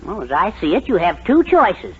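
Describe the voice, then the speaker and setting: A man's voice: sits high, wildly swooping pitch, moderate pace. A man acting a long-suffering, henpecked middle-aged father in a 1940s radio sitcom, with a dry, drawling comic delivery. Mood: wry. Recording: dull, noisy 1940s radio with audience.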